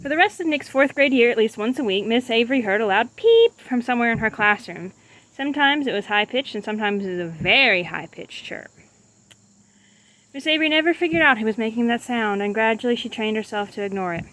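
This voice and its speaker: A woman's voice: fairly high pitch, fairly bright tone. A woman reading a children's book aloud as a storyteller. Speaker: storybook reader.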